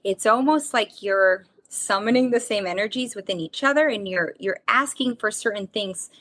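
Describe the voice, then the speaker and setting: A woman's voice: mid-range voice, moderate pace, a bit bright. A woman guest in an interview, talking expressively and searching for words. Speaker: guest, drinks company team member. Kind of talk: casual interview talk.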